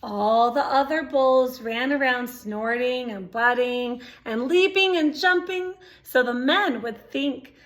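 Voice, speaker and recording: A woman's voice: sits high, takes it slowly, few pauses. A woman reading a picture book aloud to young children, expressively, with exclamations, asides and questions to her listeners. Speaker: storytime reader.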